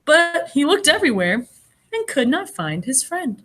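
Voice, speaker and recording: A woman reading a picture book aloud as a teacher would to young children, expressive and pausing to explain things in simple words. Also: high voice. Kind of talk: animated children's read-aloud with asides.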